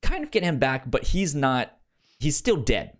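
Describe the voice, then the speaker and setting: Male podcast co-host, casual and halting, stammers over words, pitch swings widely. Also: very animated. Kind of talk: casual book discussion podcast.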